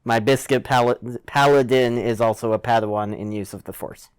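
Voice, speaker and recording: A man's voice: medium pitch, fairly even intonation, normal speed, clear, resonant tone. A man talking playfully in character as a tabletop game player, with a mock-solemn, grandiose manner.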